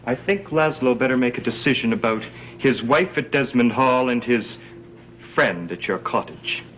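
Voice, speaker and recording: Actor as an insolent young gothic soap schemer. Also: male voice, mid-range voice, normal speed, a bit bright, slight background noise, lightly acted. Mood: smug, needling. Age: young adult. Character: insolent, scheming young heir, smugly needling. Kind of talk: gothic television soap acting.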